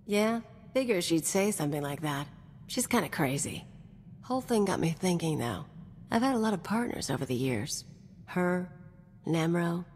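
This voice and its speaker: A woman's voice: fairly low pitch, animated, normal speed, a little mellow, a little hiss or hum, close to an everyday voice. A woman playing a brash, scheming, vindictive mercenary in a video game, with a cocky, sardonic, slangy delivery. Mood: teasing. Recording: long reverb, music behind.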